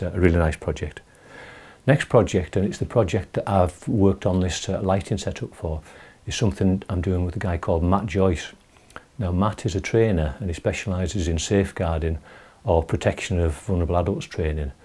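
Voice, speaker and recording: A middle-aged man presenting an informal video newsletter to camera, with hesitant pauses as he goes. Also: deep voice, warm tone, husky. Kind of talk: informal talk to camera about recent projects.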